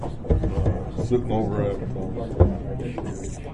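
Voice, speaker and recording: A man's voice: lowish voice, fairly even intonation, extremely slow, over loud music. A man on a county committee teasing a colleague in casual banter before a meeting starts. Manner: joking, teasing. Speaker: committee member. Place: county board meeting room. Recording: distant, noisy room recording.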